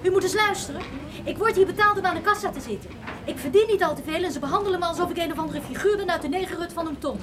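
A woman's voice: extremely high pitch, racing. An actress playing a curt, defensive young female cashier in a radio play, on a noisy old recording. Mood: indignant.

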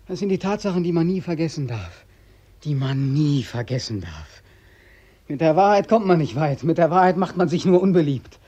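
An actor playing a desperate, bitter young war veteran in a radio play, anguished and given to repeating himself. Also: male voice, high-pitched, a little mellow, breathy edge, exaggerated voice. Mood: bitter, dismayed. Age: young adult. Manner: anguished, repetitive, echoing others' words. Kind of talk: post-war German radio play acting. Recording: dull, muffled old radio recording.

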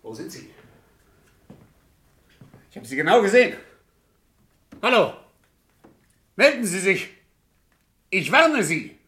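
An actor playing a frightened armed householder calling out tense challenges to an intruder in a 1960s radio crime drama. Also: male voice, sits high, sing-song, slow, pauses often, sharp tone, roomy sound, full character voice. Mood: alarmed, threatening.

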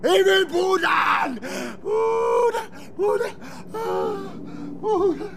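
A frantic, extremely high-pitched raver. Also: male voice, extremely slow, gravelly, shouting, over a noisy crowd, full character voice. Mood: frantic, hysterical. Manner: stammering, compulsive repetition. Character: frantic, raving man obsessively chanting and stammering names. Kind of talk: avant-garde literary radio drama acting.

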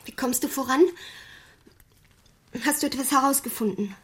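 An actress as a shaken woman, high-voiced. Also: moderate pace, lightly acted. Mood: hushed worry. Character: shaken, incredulous female client of a detective. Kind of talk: radio crime drama dialogue.

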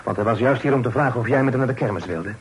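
An actor playing a young gentleman in a period radio drama, teasing and courteous. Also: mid-range voice, racing, slight background noise, lightly acted, rough recording.

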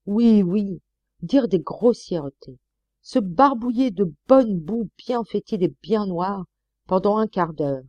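A woman's voice: mid-range voice, lively intonation, slow, dark tone, no echo. A woman reading aloud and voicing a peevish, snobbish middle-aged husband who pleads and sneers, on a slightly muffled recording. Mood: weary, disgusted.

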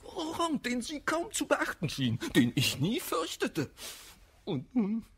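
Jilted, melodramatic gentleman, wildly swinging pitch. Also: wildly swooping pitch, slow, slightly rough, full character voice. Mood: furious, indignant. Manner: theatrical, anguished verse declamation. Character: jilted, hot-tempered gentleman lover, wounded and melodramatic. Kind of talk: German radio play of classical verse comedy.

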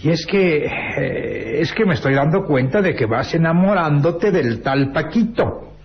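Vintage radio drama confidant: rather high voice, stern and reproachful, dull recording. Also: male voice, expressive, measured pace, creaky, slight background noise. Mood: reproachful, serious. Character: disapproving, serious confidant of a young girl. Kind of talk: old radio soap opera acting.

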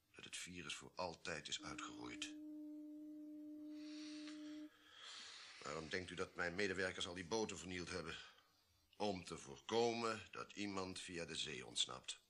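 An actor playing an imperious, ruthless army colonel in a radio play, speaking in a curt, formal, commanding way. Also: male voice, deep voice. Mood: grim. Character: imperious, ruthless male army colonel guarding a deadly secret. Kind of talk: Dutch radio play acting.

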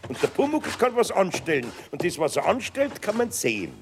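An actor playing an older master carpenter in a radio play, speaking with a Bavarian accent, gruff and kind, teasing with dry humor. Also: male voice, high-pitched, wildly swooping pitch, fairly quick, background noise, heavily acted. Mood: wry.

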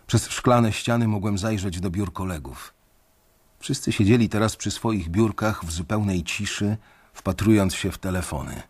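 A young man narrating a radio drama in the first person, measured and brooding. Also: lowish voice, darkish tone, slightly rough. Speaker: narrator and main character.

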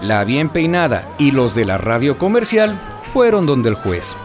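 A woman's voice: rumbling low, big pitch swings, unhurried, music in the background. A woman narrating a scripted radio drama in a playful storytelling manner.

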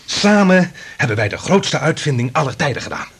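An actor playing an obsessed, reckless scientist in a radio drama, husky-voiced, grandiose and excitable. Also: male voice, fairly high pitch, brisk, exaggerated voice. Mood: fanatical, grandiose. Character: obsessed, reckless male scientist drunk on his invention.

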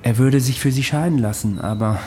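A man's voice: medium pitch, brisk, warm tone, faint music behind. A man giving blunt commentary in a documentary style.

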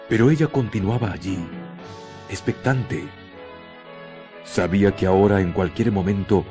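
Low, mellow male radio-drama narrator. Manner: measured, suspenseful, deliberate pauses. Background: music.

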